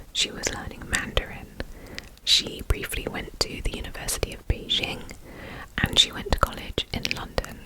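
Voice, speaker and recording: Whispering woman, slightly nasal. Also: poor audio. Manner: soft unvoiced whisper, chatty with "you know" asides. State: a little congested from a recent illness. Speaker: ASMR channel host. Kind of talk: whispered true crime ASMR narration.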